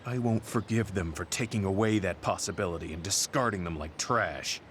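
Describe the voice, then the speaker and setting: An actor playing a stoic, earnest veteran soldier in a video game, speaking in a serious, reserved, measured way. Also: male voice, low voice, slight background noise. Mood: grimly resolute. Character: stoic, earnest male veteran soldier, quietly grieving and resolute. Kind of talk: English video game voice acting. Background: soft background music.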